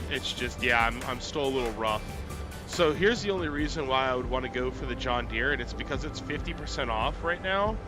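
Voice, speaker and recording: A man's voice: mid-range voice, pushed and bright. A man live-streaming a farming game, rambling and thinking aloud with lots of fillers, still a little congested from being sick. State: congested, recovering from illness. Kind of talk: casual gameplay live stream chat.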